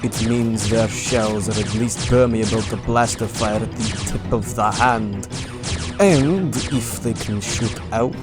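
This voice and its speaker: A hulking, calm alien from a sci-fi cartoon, acted by a man. The delivery is measured and dry in a fairly low voice, over clear background music. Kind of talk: comic dub character acting.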